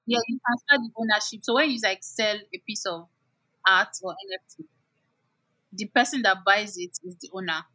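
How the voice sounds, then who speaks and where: A woman's voice: medium pitch, expressive, normal speed, pushed and bright, husky, rough recording. A woman guest explaining things in a casual chat, with lots of "like" fillers.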